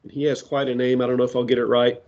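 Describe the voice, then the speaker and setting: A man preaching a sermon that ties prophecy to current events, speaking deliberately with side remarks, his voice giving out. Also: medium pitch, flat delivery, a little mellow, muffled recording. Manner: slow, deliberate delivery with asides. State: losing his voice.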